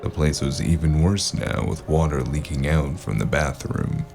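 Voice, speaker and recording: A weary hard-boiled detective telling his own story. His narration is extremely deep and gravelly, in classic noir audio drama style. Music runs clearly underneath. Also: fairly even intonation, normal speed, darkish tone. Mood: brooding. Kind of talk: first-person noir narration.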